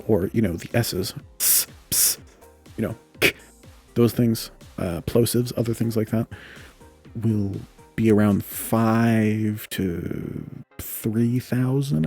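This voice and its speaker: A young man with a low voice giving a relaxed, casual tutorial on audio mixing, thinking aloud and correcting himself as he goes. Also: vocal fry, breathy edge. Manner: relaxed, thinks aloud with self-corrections and filler "you know". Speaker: podcaster explaining audio mixing. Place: home room with a fan running. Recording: home studio mic with quiet fan noise and faint music.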